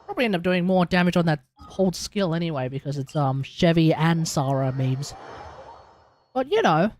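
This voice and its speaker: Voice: mid-range voice, lively intonation, normal speed, darkish tone. A game streamer talks casually through live gameplay. The delivery is playful, full of exclamations and muttered asides, with a little noise in the background. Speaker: game livestreamer. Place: livestream with game audio.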